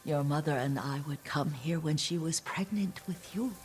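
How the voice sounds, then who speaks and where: An actress playing a gentle, wistful elderly woman, a lord's devoted caretaker, in a video game, with a soft, breathy, reflective delivery. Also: deep voice, soft background music, lightly acted. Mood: wistful, fond. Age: older. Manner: slow, tender, reflective. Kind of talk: dramatic video game acting.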